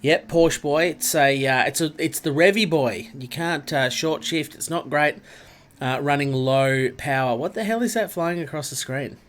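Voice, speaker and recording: A man's voice: a little high, moderate pace, fairly bright tone. Congested Australian man streaming a racing game. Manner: chatty, greets viewers by name. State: congested, nasal from a cold. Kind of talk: casual live-stream chat over gameplay.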